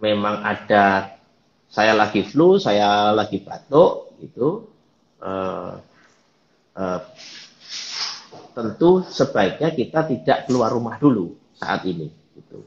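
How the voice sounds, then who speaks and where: A man calmly explaining things as a guest in a live online chat, with many fillers. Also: lowish voice, slow, long pauses, echoey room, muffled recording. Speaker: guest speaker.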